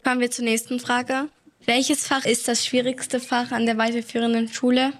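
A woman asking prepared interview questions for a class radio show, in an even reading tone. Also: a little high, normal speed. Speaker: pupil interviewer.

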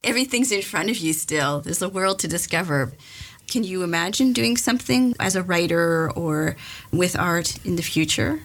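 A woman interviews a young writer and asks encouraging questions. Her voice sits a little low, and she often voices her admiration. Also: lively intonation, moderate pace.

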